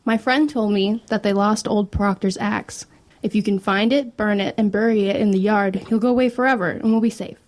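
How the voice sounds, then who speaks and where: A woman's voice: mid-range voice, fairly even intonation, a little fast, natural voice. A woman playing a guarded, wry teenage older sister in an audio drama, casual and offhand. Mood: reassuring.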